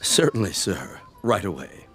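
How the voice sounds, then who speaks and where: An obsequious waiter in a radio drama. His low voice moves expressively through formal, servile courtesies. Light music sits behind it. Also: very animated, unhurried. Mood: politely servile. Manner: formal, smooth-mannered, servile.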